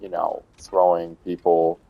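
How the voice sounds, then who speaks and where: A man's voice: sits low, monotone, unhurried, vocal fry, highs cut off. A young man casually commenting on a video game as he plays, rambling with lots of hesitant fillers. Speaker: gamer streaming a playthrough.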